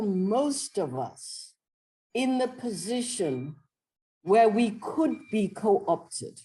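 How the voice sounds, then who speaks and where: A woman professor giving a reflective answer, thoughtful and deliberate, with pauses between phrases. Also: medium pitch, dark tone, rough recording. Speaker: professor, invited speaker.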